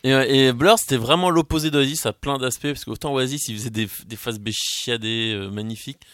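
Casting: male radio host, medium-pitched voice, casual music chat, dry studio-close sound. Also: fairly quick, fairly bright tone, no echo.

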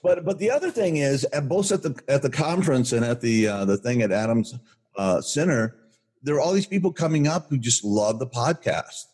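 An older man with a booming voice, co-hosting a podcast and telling a story in an enthusiastic, rambling way with many restarts. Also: animated, normal speed, darkish tone, husky. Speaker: podcast co-host. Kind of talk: casual rambling chat.

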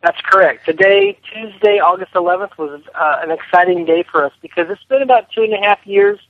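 A man's voice: sits high, sing-song, fairly quick, dry recording. A man being interviewed as a film director, answering conversationally over a telephone line. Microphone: phone.

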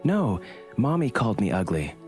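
A small, innocent little girl, put on by a man with a deep voice nudged higher. She talks in a plain, matter-of-fact way. Music plays clearly behind her. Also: sing-song, moderate pace, darkish tone.

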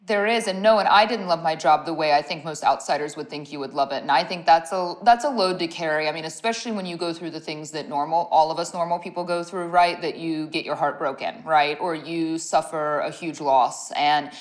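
Woman, raspy and husky, deep low voice, Midwestern accent, lively chatty storyteller. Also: a little fast, few pauses. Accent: Midwestern American with a slight Southern touch. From Ohio, US Midwest. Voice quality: raspy, deeper voice. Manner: animated storyteller, laughs often, playful asides. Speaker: guest, brand owner and former radio host. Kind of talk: casual interview conversation.

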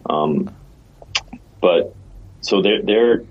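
Man, low husky voice, casual podcast co-host, hesitant restarts, dull lo-fi recording. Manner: explains slowly with many restarts. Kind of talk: casual chat.

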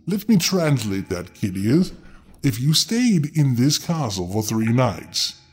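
A man's voice: medium pitch, big pitch swings, slow pace, husky. A man narrating a fairy tale as a storyteller, theatrical and impish in his delivery. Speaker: storyteller, host. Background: music.

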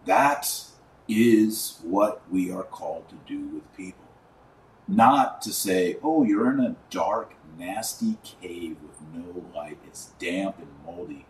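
A man hosting a religious commentary podcast, speaking in an emphatic, didactic, preachy way with deliberate stresses and repetition. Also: low voice, animated. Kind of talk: preachy commentary to camera or microphone. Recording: roomy echo, distant mic, faint noise.

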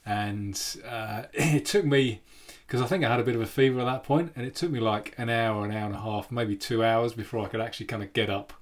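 A man's voice: medium pitch, a little fast. A man chatting casually and stammering into his sentences. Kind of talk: casual chat.

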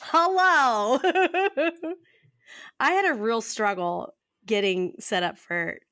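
A woman in her thirties co-hosting a podcast, raspy and a little hoarse from a cough, chatting casually and laughing often as she rambles through stories. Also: on the high side, drawn-out words, fairly bright tone, no echo, clean studio sound. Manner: giggly, rambling storyteller who laughs often. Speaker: podcast co-host. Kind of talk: casual chat.

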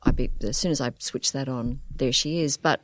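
A woman with a slight Northern Irish accent and a warm, comforting voice, a voice actor chatting animatedly in an interview. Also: extremely low pitch, moderate pace, dry recording. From Northern Ireland. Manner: animated, laughs easily. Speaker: voice actor guest. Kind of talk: casual interview chat.

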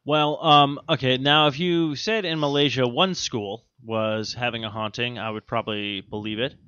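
Halting, casual man co-hosting, dull dry audio. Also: mid-range voice, fairly even intonation, sharp tone, clean tone, no echo. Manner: rambling, halting reader who stumbles over words; casually profane. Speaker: podcast co-host. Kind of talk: casual banter and reading odd news items.

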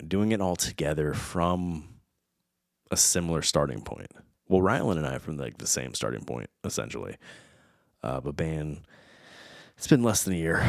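A man's voice: extremely low pitch, creaky, no echo, clean studio sound. A man in his thirties from the United States, chatting casually and reflectively, rambling with many fillers and restarts. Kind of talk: casual chat.

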